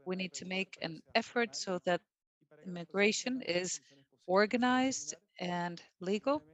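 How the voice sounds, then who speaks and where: Slow-paced woman interpreting live. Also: lowish voice. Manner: measured, with pauses and restarts. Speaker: simultaneous interpreter. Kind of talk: live interpretation of closing remarks.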